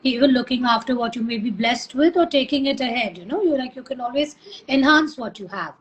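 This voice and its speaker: A woman dermatologist joins as a guest over a video call, her voice bright and high. She speaks casually and warmly, with frequent "you know"s, over faint background noise. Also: fairly quick, lo-fi sound. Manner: warm, complimentary, filler-heavy.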